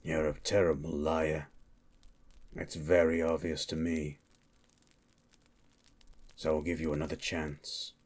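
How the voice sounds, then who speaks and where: Casting: male actor for a menacing hired monster slayer, fantasy audio roleplay. Husky, extremely deep, terse and cold, with flat delivery. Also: full character voice. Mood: suspicious, stern. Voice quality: husky, very low. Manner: slow, deliberate, terse, coldly interrogating. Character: grim, menacing male monster hunter for hire, calmly threatening. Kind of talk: improvised ASMR fantasy roleplay.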